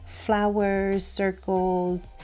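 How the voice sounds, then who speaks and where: A woman in her thirties from Puerto Rico, a native Spanish speaker with a Puerto Rican accent, gently and reflectively talking through her journal pages in a calm vlog voice-over. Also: mid-range voice, faint music behind. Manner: slow, gentle and reflective, with soft fillers. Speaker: journaling vlogger.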